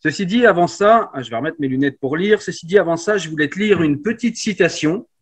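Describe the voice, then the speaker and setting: A man's voice: a little high, expressive, brisk, slightly rough. A man hosting an interview, asking friendly questions with some hesitation. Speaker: interviewer, channel host.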